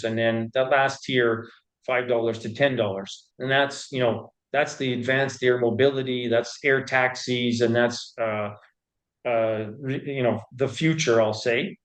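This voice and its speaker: A man speaks as a company executive being interviewed. His voice sits at an average male pitch. He explains things step by step in halting phrases, often repeating a word before carrying on. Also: poor audio. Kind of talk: explanatory business interview talk.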